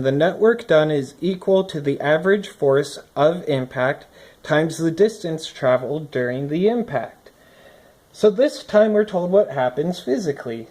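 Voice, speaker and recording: Mid-pitched explainer reading a lesson aloud. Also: a little mellow. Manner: explanatory, think-aloud asides. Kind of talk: scripted read-aloud lesson with commentary. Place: indoors at a desk. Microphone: phone recording from above the desk.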